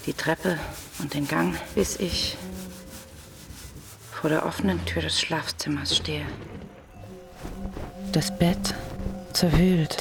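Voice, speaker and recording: An actress playing a troubled, secretive married woman in a German radio drama, speaking in a hushed, introspective way. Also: deep voice, vocal fry, heavily acted. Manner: slow, introspective. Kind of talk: radio drama inner monologue and dialogue. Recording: music under the voice.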